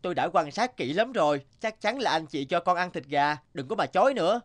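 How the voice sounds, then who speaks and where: A man's voice: high voice, animated, fairly quick, fairly bright tone. A man voicing a foolish, cocky young healer's apprentice in a cartoon folk tale, bumptious and emphatic, with music behind. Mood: accusing. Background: background music.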